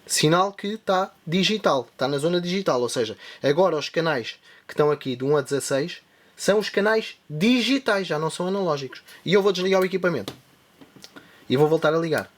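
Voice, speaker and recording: A man's voice: fairly high pitch, lively intonation, a little fast. A man with a European Portuguese accent hosting a tech channel, walking viewers through a device setup step by step as he demonstrates it. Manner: step-by-step explaining while demonstrating. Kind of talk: hands-on device tutorial.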